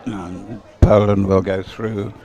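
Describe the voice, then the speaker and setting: A man giving live, conversational race commentary in a halting way, repeating words as he goes. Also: fairly low pitch, little pitch movement, dark tone, some chatter behind. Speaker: sports commentator.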